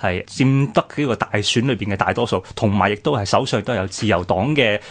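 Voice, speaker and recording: A man's voice: fairly low pitch, fairly quick, husky. A man with a Hong Kong Cantonese accent co-hosting a radio programme, discussing history in a conversational way.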